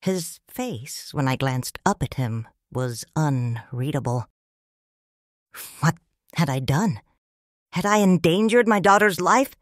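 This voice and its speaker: A middle-aged woman narrating in first person as a grieving widow and mother, in soft, tender, reflective audiobook narration. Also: sits low, no room sound, studio quality.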